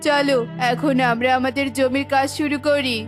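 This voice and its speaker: A woman's voice: high-pitched, level tone, normal speed, music in the background, close to an everyday voice. A woman voicing an earnest, pleading father bird in a children's cartoon. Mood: earnest resolve. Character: earnest hungry father bird rallying the flock.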